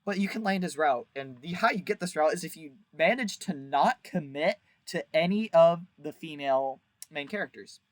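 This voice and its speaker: A man's voice: fairly high pitch, moderate pace, few pauses, no room sound. A man giving a casual, rambling video review as host, with a crude, jokey, exaggerated way of talking.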